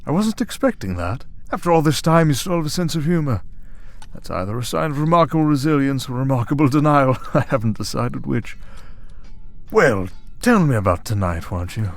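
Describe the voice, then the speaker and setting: A man's voice: medium pitch, lively intonation, brisk, soft background music, lightly acted. A man playing an urbane, taunting vampire villain in an audio drama, speaking in a mocking, leisurely, theatrical way. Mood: amused, needling. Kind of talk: scripted audio roleplay drama.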